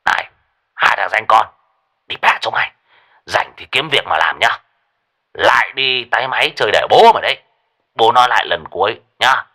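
A bitter, foul-mouthed gambler in a spoken story, played by a male narrator in a louder, harsher voice. He rants and scolds with a Northern Vietnamese accent, and his pitch swings hard from line to line. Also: huge pitch swings, normal speed, creaky, heavily acted. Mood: cursing. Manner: swearing, ranting and scolding.

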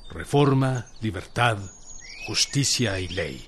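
An actor playing a resolute revolutionary general from rural Mexico in a radio drama, speaking solemnly and deliberately with a Mexican accent. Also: male voice, on the low side, animated, a bit bright, a little hiss or hum. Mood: solemn, proclaiming. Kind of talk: radio drama acting, proclamation and dialogue.

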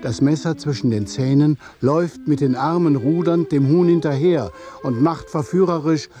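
A man narrating a scripted literary radio play in a deliberate, measured storytelling manner. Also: on the high side, warm tone, soft background music.

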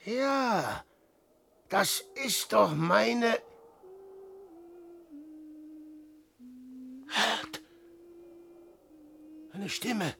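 An actor playing a weary, self-mocking old adventurer, muttering theatrically to himself in verse in a 1960s radio drama. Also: male voice, high voice, lively intonation, extremely slow, gravelly, bright, forceful tone, a little hiss or hum, full character voice. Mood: wondering.

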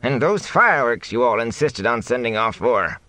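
A man's voice: mid-range voice, sing-song, normal speed, sharp tone, no echo, pitched above the performer's own voice. A man voicing a distrustful, grumbling old village thatcher in an audiobook, with a querulous manner.

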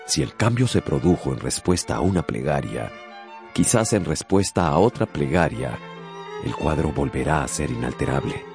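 Very deep male voice, slow, expressive swings, solemn literary narration, clear music behind. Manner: solemn, deliberate, theatrical pauses. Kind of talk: dramatic scripted literary narration for radio.

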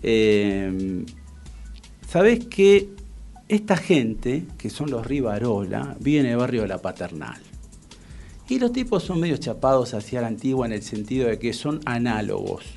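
A middle-aged Argentine man with a Rioplatense accent, hosting a radio interview in a chatty, relaxed and informal way that wanders off into asides. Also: mid-range voice, a little slow, creaky, faint music behind. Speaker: radio host. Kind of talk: casual radio interview chat.

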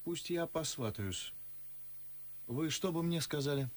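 A forthright young gentleman speaks verse in a radio play. His voice sits fairly high. He comes across as restless and ironic, a suitor with questions. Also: animated, unhurried, no room sound. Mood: probing, ironic. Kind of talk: theatrical audio drama in verse.

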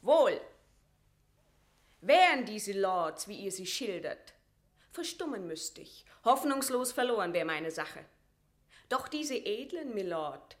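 A woman's voice: mid-range voice, a bit bright. An actress playing a proud captive Scottish queen in a classical radio drama, declaiming formal verse with eloquent, impassioned defiance. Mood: scornful, impassioned. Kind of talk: classical German stage verse radio acting.

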